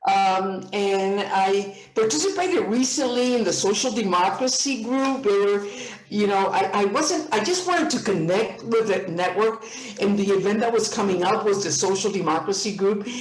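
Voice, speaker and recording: A woman in her seventies from Puerto Rico speaks with eager enthusiasm, doubling back on words as her thoughts run ahead. Her voice sits at a middling pitch. The call has a roomy, echoey sound. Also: moderate pace. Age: 70s. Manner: enthusiastic, repeats words when excited. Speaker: participant. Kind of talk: casual video-call chat.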